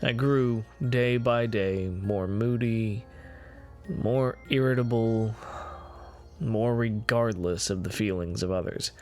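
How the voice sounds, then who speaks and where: A man gives a dramatic reading of a gothic horror story, with measured, deliberate phrasing and dramatic pauses. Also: fairly low pitch, a little mellow. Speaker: amateur reader of a classic horror story. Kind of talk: dramatic reading of gothic first-person fiction. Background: music behind the voice.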